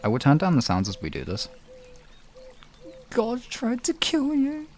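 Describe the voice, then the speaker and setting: A man's voice: sits high, huge pitch swings, a little slow. A man hosting a livestream, chatting casually and swearing freely. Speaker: livestream host.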